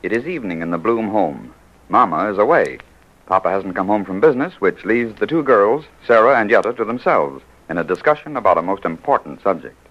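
A man's voice: on the low side, sing-song, fairly quick, faint music behind. A man introducing and narrating an old-time radio serial in a scripted, scene-setting style. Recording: very noisy, lo-fi vintage radio recording.

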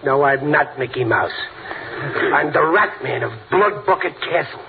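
An overgrown-kid American family man, playful and scheming, in vintage radio comedy. His voice sits high and jumps around expressively. The recording is tinny, with heavy noise. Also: lively intonation, a little slow, creaky. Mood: gleeful scheming. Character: boisterous, childish working-class family man, playful prankster father. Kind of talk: 1940s radio comedy acting.

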